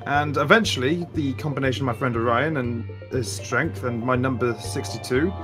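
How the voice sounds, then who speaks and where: A man's voice: mid-range voice, normal speed, close to an everyday voice. A man voicing an eager, talkative young duelist in improvised game roleplay, hesitant as he explains things. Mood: earnest. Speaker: player in a Minecraft roleplay. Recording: loud music behind the voice. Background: loud music.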